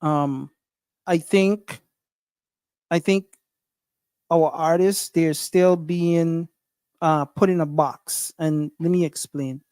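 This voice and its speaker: Guyanese-accented man, livestream host, unhurried, clear and fairly high voice, lots of ums. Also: steady tone, a little mellow, no echo. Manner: thinking aloud with many fillers and restarts. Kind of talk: casual livestream talk.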